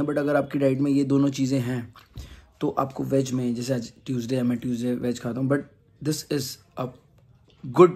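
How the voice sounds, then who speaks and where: A man's voice: mid-range voice, a little mellow, breathy edge. A man in his thirties chatting casually to a phone camera for his vlog, mixing English words into Hindi. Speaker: vlogger. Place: gym, then home, then outside a temple at night. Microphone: phone camera at arm's length. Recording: noisy phone vlog audio.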